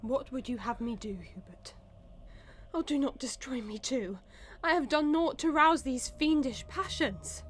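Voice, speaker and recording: An actress playing an anguished, devoted wife who pleads in archaic, emotional language in a Victorian melodrama for audio. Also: female voice, high voice, unhurried, slight background noise. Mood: pleading.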